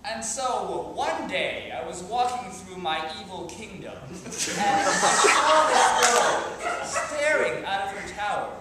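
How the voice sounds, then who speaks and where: A man's voice: high voice, expressive, slow pace, recorded from a distance. A man improvising as a self-important, muddled evil king, musing in a mock-grand way on stage in an echoey, noisy room. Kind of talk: improv comedy storytelling on stage.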